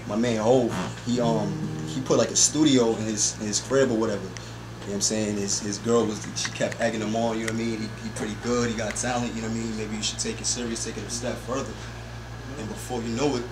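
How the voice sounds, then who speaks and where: Fast-talking young man, echoey room. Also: fairly low pitch, level tone, over music. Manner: slangy, rambling, repeats filler phrases. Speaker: rapper, interview guest. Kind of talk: casual interview chat. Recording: echoey room with background noise.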